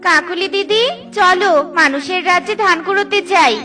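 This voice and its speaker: Woman acting a small female bird, caring, sensible, tiny high voice, children's cartoon, background music. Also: moderate pace. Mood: caring, practical.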